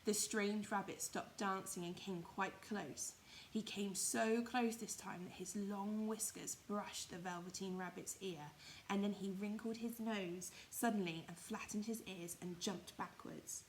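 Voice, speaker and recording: A woman's voice: mid-range voice, fairly even intonation, unhurried, slight background noise, low-quality recording. A woman reading a children's story expressively, with emphatic, dramatised phrasing. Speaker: primary school storyteller.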